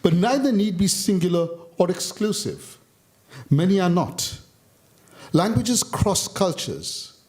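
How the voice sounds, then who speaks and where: A man from India reading his own literary essay aloud on stage, in a measured, rhythmic way with deliberate pauses. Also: high-pitched, animated, darkish tone, husky. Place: stage of a public talk venue.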